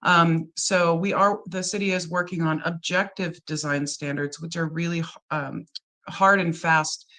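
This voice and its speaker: A woman's voice: fairly low pitch, steady tone, unhurried, bright, forceful tone. A woman who is under the weather, presenting a staff report as a city planning manager and explaining it with frequent ums and uhs. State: under the weather, with a deeper, rougher voice than usual.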